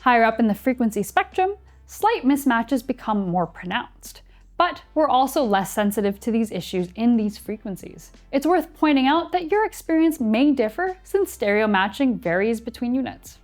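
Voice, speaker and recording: Higher-voiced young woman presenting a review. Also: big pitch swings, normal speed, soft background music. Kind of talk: scripted review narration. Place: indoor studio set.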